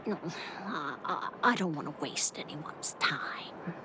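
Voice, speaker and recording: A woman playing a frail, resigned, hard-of-hearing old widow in a video game, speaking haltingly and wistfully. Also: low-pitched, animated, whispered. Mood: gentle, resigned. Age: older. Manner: halting, sighing, wistful, with soft chuckles. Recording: clearly noisy game stream capture.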